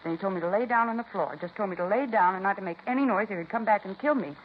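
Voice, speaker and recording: Actress as a fast-talking, indignant woman cashier, old radio. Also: mid-range voice, lightly acted. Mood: dramatic. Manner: folksy, gossipy, dismissive. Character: chatty, indignant woman grocery cashier recounting a holdup. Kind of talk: 1950s radio police drama acting. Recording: muffled, hissy 1950s radio recording.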